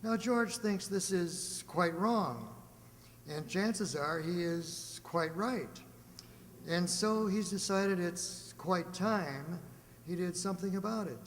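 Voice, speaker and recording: An actor playing a formal, wry old-time radio announcer and narrator for a comedy. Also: male voice, high-pitched, slow pace. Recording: echoey hall, faint hum.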